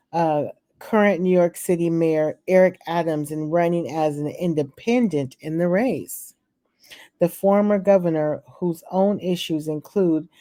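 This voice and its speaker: A woman vlogger who reads political news aloud haltingly, stumbling over names, then breaks into chatty opinions of her own. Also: sits low, mellow. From California. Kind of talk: reading news aloud and commenting.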